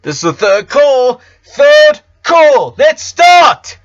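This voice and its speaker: A man voicing an urgent theater announcer, calling out loudly and emphatically in a storybook reading. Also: extremely high pitch, big pitch swings, slow, lo-fi sound, soft background music, voice pushed up, full character voice.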